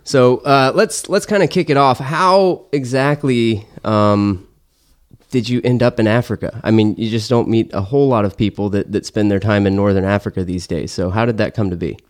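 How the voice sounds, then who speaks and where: A man with a low voice hosts an interview in a conversational style. He lays out his questions in lists, pausing between items for dramatic weight. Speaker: interview host.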